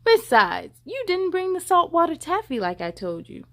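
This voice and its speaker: Storybook old fisherman played by a female reader: boastful, argumentative, emphatic, high-pitched with big swoops. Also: huge pitch swings, moderate pace, heavily acted. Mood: bickering. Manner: emphatic, grumbling, bickering. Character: boastful, argumentative old man fishing by a lake. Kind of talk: children's storybook read-aloud.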